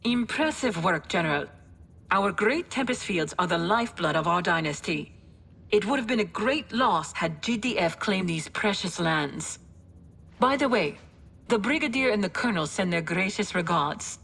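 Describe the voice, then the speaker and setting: A woman's voice: medium pitch, animated, normal speed, vocal fry, a bit bright, husky, full character voice. An actress playing a polite female staff officer, giving a formal, courteous mission briefing for a video game cutscene.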